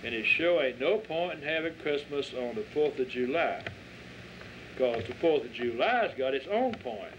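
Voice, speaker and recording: A man's voice: medium pitch, animated, moderate pace, lightly acted. A folksy country reverend from the South, acted by a male reader, patiently coaxing answers from children in a preacherly way. It is a story reading on a dull recording with a little background noise. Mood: patient, agreeing. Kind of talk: dramatic reading of a dialect short story. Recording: dull lo-fi audio with faint noise.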